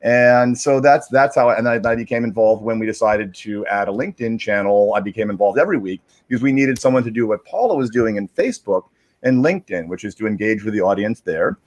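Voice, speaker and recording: A man chatting casually on a livestream, explaining in a halting, rambling way and repeating words as he searches for phrasing. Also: on the low side. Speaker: digital media strategist, guest reader.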